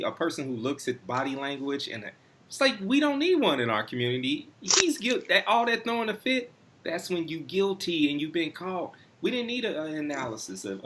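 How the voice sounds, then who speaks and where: A man hosts a loose, slangy chat show and moves the conversation along. His pitch rises and falls freely as he talks. A steady hiss of noise sits under the recording. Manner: lively, laid-back slang, steers the topics. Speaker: host. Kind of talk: casual podcast chat.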